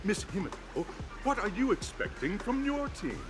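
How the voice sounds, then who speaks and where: Over the top showman host from a game, high voice, all over the place, music behind. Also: big pitch swings, moderate pace. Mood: exuberant. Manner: grandiose, effusive showman. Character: flamboyant male festival host and drink tycoon. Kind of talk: theatrical game-show announcing.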